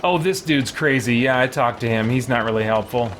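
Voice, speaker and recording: A man's voice: medium pitch, brisk, music in the background. A man live-streaming a video game, chatting informally with viewers and thinking aloud as he plays. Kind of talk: casual live-stream chat and gameplay commentary. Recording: clear background noise from game ambience.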